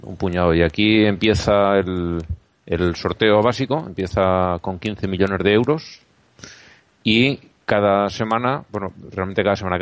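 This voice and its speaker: A man's voice: low-pitched, dry recording. A man with a Spanish accent from Spain, chatting casually as a podcast guest with dry, wry humour. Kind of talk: casual chat.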